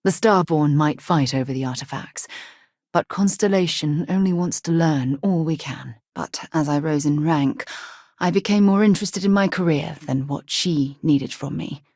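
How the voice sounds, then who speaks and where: An actress playing a composed, principled space explorer in a video game, with an articulate, dryly wry way of speaking. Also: female voice, sits low, normal speed, darkish tone, no echo, clean studio sound. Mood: composed, matter-of-fact. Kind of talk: video game character dialogue.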